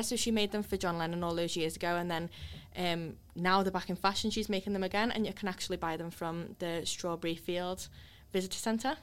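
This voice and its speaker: A woman co-hosting a podcast, explaining casually with fillers and asking the guest friendly questions. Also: lowish voice. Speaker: podcast co-host. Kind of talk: podcast chat and interview. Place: small office studio.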